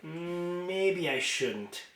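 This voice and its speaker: A man voicing a giddy, taunting teenage girl in a storybook, playful and sing-song with drawn-out condescension. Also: sits high, lively intonation, a bit bright, roomy sound, pitched above the performer's own voice, full character voice. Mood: coy.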